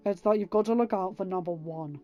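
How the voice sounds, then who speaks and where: A woman voicing a cynical, selfish male smuggler pilot in a story reading, gruff, irritable, slangy and dismissive. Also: mid-range voice, a little fast, dull audio, faint music behind, lightly acted. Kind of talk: dramatised audiobook reading.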